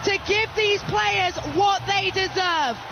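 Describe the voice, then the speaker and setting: Soccer play-by-play, very high excited voice, projected calls, loud stadium noise. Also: slow pace, creaky, lo-fi sound. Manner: excited, projecting over the crowd. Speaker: soccer radio commentator. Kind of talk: live soccer play-by-play commentary. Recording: match broadcast over loud stadium noise.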